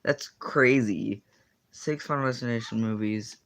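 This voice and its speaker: A man's voice: medium pitch, unhurried, fairly bright tone. A teenage boy in casual livestream chat, answering viewers and rambling with lots of fillers and self-corrections. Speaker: streamer.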